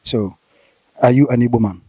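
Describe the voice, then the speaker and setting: A man's voice: medium pitch, moderate pace, dark tone, breathy edge, no room sound. A man in his thirties with a Nigerian accent, hosting a conversational interview with probing, hesitantly phrased questions. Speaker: interviewer, host. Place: outdoors, seated by corrugated metal fence. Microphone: handheld interview mic.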